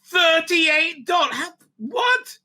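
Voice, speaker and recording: A man's voice: very high voice, very animated, extremely slow, pushed and bright. A man giving rambling, opinionated commentary as a video host, blunt and dismissive, often repeating himself and swearing. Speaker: YouTube host and reviewer.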